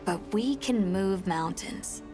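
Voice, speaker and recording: A woman's voice: medium pitch, lively intonation, slow. An actress voicing a hearty woman smith in a game cutscene, folksy and encouraging. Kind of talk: video game cutscene acting. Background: game score music.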